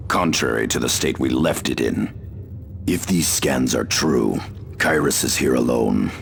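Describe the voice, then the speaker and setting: A grim armored commander gives measured orders in a game cutscene. His voice is extremely deep and heavily gravelly. A faint hum sits behind him. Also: heavily acted.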